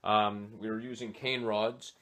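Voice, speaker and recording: Man with a low voice, halting gear talk. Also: flat delivery, a bit bright. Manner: hesitant, many "uh" fillers. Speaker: fly fishing vlogger. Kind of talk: casual gear talk to camera. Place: small home office, indoors.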